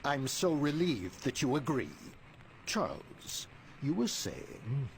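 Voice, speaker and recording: A commanding older mutant leader in a video game. His mid-pitched voice moves widely and theatrically, formal, stern and imperious, over faint noise. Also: very animated, vocal fry, exaggerated voice. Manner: formal, measured authority.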